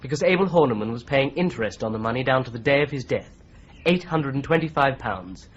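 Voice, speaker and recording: Man doing a calm persistent young law clerk, kinda dull old radio sound. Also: mid-range voice, quick pace, slight background noise, natural voice. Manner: polite, methodical, persistent. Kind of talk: 1970s radio drama acting. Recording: slightly dull broadcast recording.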